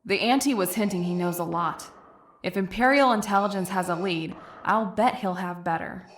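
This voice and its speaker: A woman playing a brisk, sardonic rogue agent in a comic dub, casual and businesslike. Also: mid-range voice, a little hiss or hum, close to an everyday voice. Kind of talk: dramatic comic dub acting.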